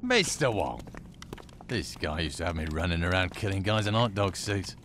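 A man's voice: low voice, animated, moderate pace, fairly bright tone, a little hiss or hum. A man playing a confident, sardonic gang boss in a video game, brash and commanding. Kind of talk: video game cutscene acting.

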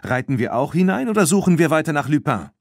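A man's voice: on the high side, sing-song, fast, gravelly, no room sound, clean studio sound, voice pushed up, exaggerated voice. A man voicing an easygoing, helpful boy rider in a children's audiobook, explaining things willingly. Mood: questioning.

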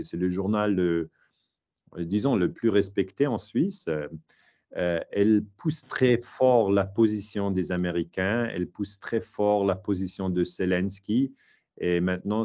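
A man's voice: low-pitched, a little mellow. A middle-aged man with a Swiss German accent, a historian answering interview questions in a hesitant way, with many fillers and restarts, speaking from a home study.

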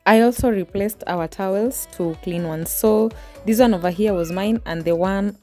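A woman's voice: medium pitch, moderate pace, faint music behind. A woman, casually narrating her home cleaning in a chatty voice-over. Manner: relaxed, chatty, small restarts. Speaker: homemaking vlogger.

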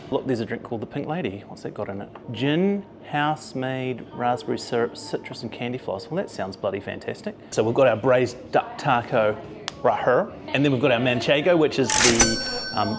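A man in his forties with a New Zealand accent, excitedly tasting food and touring rooftop bars, filmed on a handheld camera. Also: medium pitch. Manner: enthusiastic, chatty, exclaims over food. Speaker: food vlogger. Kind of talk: casual food and travel vlog. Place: rooftop bars, outdoors and under cover. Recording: music playing behind.

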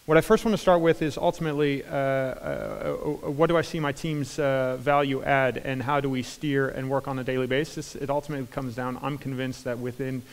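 A man in his forties with an American accent gives an energetic conference talk on stage, with frequent fillers and restarts. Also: mid-range voice, a little fast, few pauses. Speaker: conference speaker. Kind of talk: keynote talk. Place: large conference hall stage. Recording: hall reverb from a large room.

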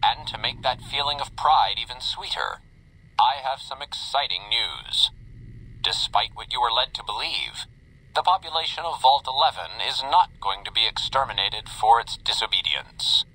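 An actor playing an upbeat, smug automated company announcer in a retro promotional style, polished and congratulatory, through an old radio filter. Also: male voice, medium pitch, lively intonation, moderate pace, sharp tone, slightly rough, full character voice, poor audio. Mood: cheery, grandiose. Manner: cheery, polished, theatrically congratulatory.

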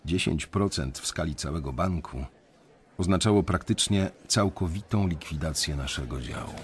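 An actor playing a reflective young banker, narrating his story in measured, literary first person for a radio drama, with a husky voice. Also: sits low, close to an everyday voice.

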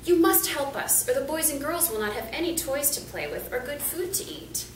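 Storybook dolls and toys, one woman playing them: anxious, urgent pleading, high and animated. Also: normal speed, reverberant, far from the mic, noisy background.

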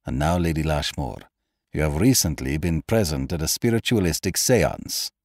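Man acting a very deep, stern, grave doctor. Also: expressive, darkish tone, no room sound, clean studio sound, heavily acted. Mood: stern, measured. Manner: stern, measured, formal. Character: grave, composed male doctor questioning gently but firmly.

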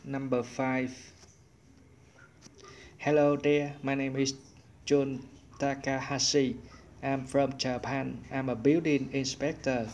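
A male English teacher explains a course-book exercise step by step. He speaks slowly in an even, flat tone, over a faint hiss of noise. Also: mid-range voice. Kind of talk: online lesson narration.

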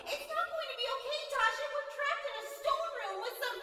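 Echoey, very high, frantic woman acted. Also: brisk, pushed and bright. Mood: hysterical, angry. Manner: sarcastic, overwrought. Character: bitter, panicking young woman turning into a monster. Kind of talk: radio drama acting. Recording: echoey stone-hall sound, distant.

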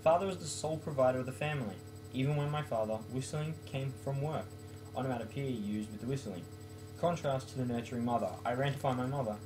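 A man's voice: mid-range voice, quick pace, over music, low-quality recording. A young man talks in a casual, homemade student style, as if at his desk in a bedroom. His voice sits in an ordinary male register and moves between loose chat and reading aloud. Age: late teens to young adult. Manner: self-mocking, jokey. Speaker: student vlogger. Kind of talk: casual talk and poem reading. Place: small bedroom, indoors.

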